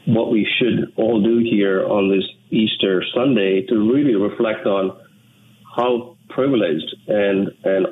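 A man from Sri Lanka, an English speaker, talking in an earnest, measured way as an interview guest. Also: on the low side. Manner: measured, earnest, with frequent restarts. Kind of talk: reflective interview talk. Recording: tinny phone line with faint noise.

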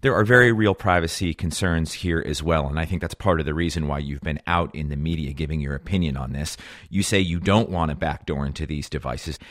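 A man's voice: rumbling low, fairly quick, a bit bright, no room sound, studio quality. A man working as an interviewer, putting long, formal questions to a guest.

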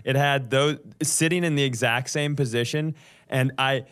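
A man's voice: mid-range voice, fairly even intonation, moderate pace, a bit bright, clean tone. Man riffing casually as podcast co-host. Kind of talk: casual comedic banter.

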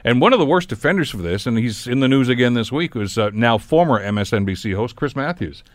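A man's voice: mid-range voice, animated, fast talker, dry recording, studio quality. A man hosting a radio panel discussion, telling stories in an opinionated way and acting out quotes as he goes. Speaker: radio talk show host.

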